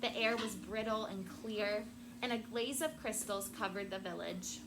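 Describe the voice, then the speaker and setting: A woman teacher reading a storybook aloud to children in a classroom, with expressive, deliberate phrasing and prompting questions. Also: medium pitch. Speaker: elementary school teacher. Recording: very noisy classroom recording.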